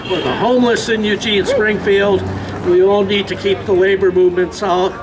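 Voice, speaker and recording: A man's voice: high-pitched, steady tone. Man giving a union rally speech outdoors. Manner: measured and earnest, with occasional fillers. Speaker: union representative. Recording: distant mic outdoors with crowd.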